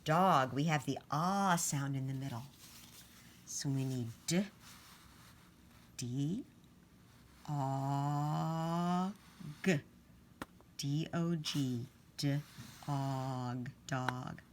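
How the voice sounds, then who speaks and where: Slow, low-pitched woman teaching young children. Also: slight background noise. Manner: sounds out letters and words deliberately. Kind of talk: storybook read-aloud and phonics lesson.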